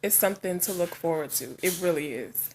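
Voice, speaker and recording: A woman's voice: fairly low pitch, brisk, a little hiss or hum. A woman in her forties chatting straight to her viewers, open and direct. She laughs easily and wanders into side thoughts. She sounds like she has only just woken up. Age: 40s. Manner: frank, chatty, laughs often, trails off into asides. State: just woke up. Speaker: vlogger. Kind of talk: casual chat to camera.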